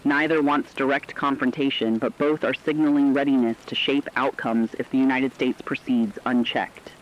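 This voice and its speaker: A man playing a sober radio analyst, giving formal, deliberate commentary in a scripted emergency broadcast. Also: medium pitch, steady tone, lo-fi sound, natural voice. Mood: sober, ominous.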